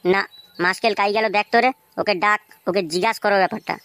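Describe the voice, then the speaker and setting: A woman's voice: medium pitch, fairly even intonation, fast, fairly bright tone, clear, resonant tone, close to an everyday voice. A woman doing a tipsy, crude cartoon gang boss. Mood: tipsy, puzzled. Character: groggy, drunk, gruff male gang boss. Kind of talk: comedy cartoon dialogue.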